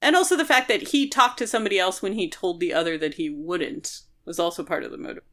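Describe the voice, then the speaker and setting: A woman co-hosting a book podcast, talking casually and explaining things in an animated, conversational way. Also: fairly low pitch, quick pace. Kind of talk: casual chat discussing a novel.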